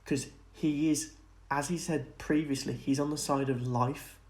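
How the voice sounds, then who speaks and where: A man's voice: a little high, steady tone, unhurried, a little mellow. A man co-hosting a podcast, chatting casually and earnestly as he speculates about film and TV. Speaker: podcast co-host.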